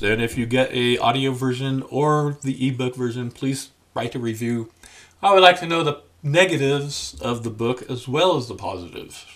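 An older man, around his sixties, talking casually to the camera in a deliberate, halting, dry manner. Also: medium pitch, a bit bright. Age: older, about 60s. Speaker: memoir author addressing viewers. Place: small room at home, indoors.

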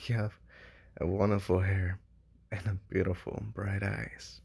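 Man as a slow-talking shy smitten demon. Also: low voice, level tone, creaky, a little mellow, slightly rough, full character voice. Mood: shy, affectionate. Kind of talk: amateur comic dub voice acting.

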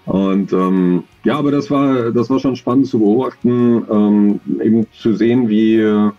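A man in his forties, an audio drama author, talking thoughtfully in an interview over a video call, with many pauses and fillers. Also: on the low side, steady tone, highs cut off, faint music behind. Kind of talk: relaxed conversational interview.

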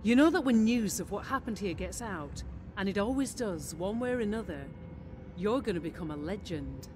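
A woman's voice: mid-range voice, lively intonation, moderate pace, darkish tone, a little hiss or hum, close to an everyday voice. Confident veteran mercenary major played by a woman. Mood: friendly, assured. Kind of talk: video game cutscene acting.

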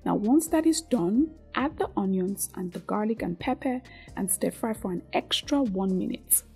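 A woman's voice: mid-range voice, expressive, normal speed, warm tone, faint music behind. A woman hosting a cooking tutorial, addressing viewers directly in a scripted, presenter-like way. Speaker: cooking show host.

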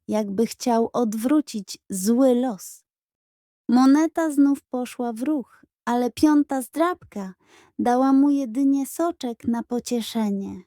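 Woman telling a kids bedtime story, takes her time, soft dark tone, highish voice, really dry sound. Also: no room sound. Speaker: audiobook narrator. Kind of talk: scripted children's bedtime story narration.

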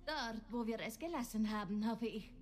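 A woman voicing a friendly, sociable female sailor in a dubbed video game, speaking in a warm, hearty manner. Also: fairly high pitch, normal speed, natural voice. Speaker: game character.

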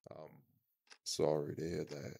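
A man playing a blunt but protective male vampire in an intimate audio roleplay, speaking gruffly and informally. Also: very deep voice, completely flat delivery, a little slow, dark tone, full character voice. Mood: gentle, concerned.

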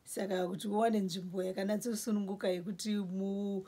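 Zimbabwean lady shop owner chatting in her little store, kinda husky voice. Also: medium pitch, steady tone, mellow. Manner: lists goods slowly while showing shelves. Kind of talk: casual interview in Shona. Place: small indoor grocery store.

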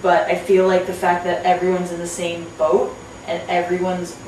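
A woman's voice: on the low side, monotone, normal speed, a little mellow. A young woman guest host with a stutter, chatting casually. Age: young adult. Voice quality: stutters, repeating sounds and words. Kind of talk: casual chat. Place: small indoor living room. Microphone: far camera. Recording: distant mic, echoey room, faint hiss.

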